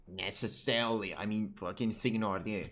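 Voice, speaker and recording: A man's voice: fairly low pitch, fairly even intonation, moderate pace, heavy background noise. A man giving casual, rambling game playthrough commentary, with plenty of swearing and irreverence. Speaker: streamer, content creator.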